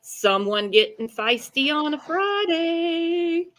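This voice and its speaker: A woman's voice: sits high, expressive, takes it slowly, fairly bright tone. A woman co-hosting a live true-crime chat show, talking in a blunt, emphatic, colloquial way. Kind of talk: casual opinionated chat.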